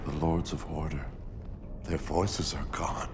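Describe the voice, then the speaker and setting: An actor playing a solemn, weary mystic who gives grave, formal warnings in a video game cutscene, with noise behind him. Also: male voice, very deep voice, little pitch movement, takes it slowly, loud music behind the voice. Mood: weary, contrite.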